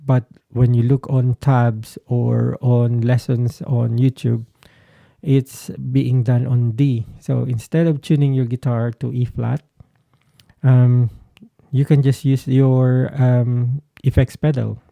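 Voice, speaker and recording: A man's voice: mid-range voice, level tone, takes it slowly, gravelly, dark tone, no room sound. A man giving a casual explanation as a guitarist vlogger, hesitant and searching for his words. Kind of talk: casual explanatory talk to camera.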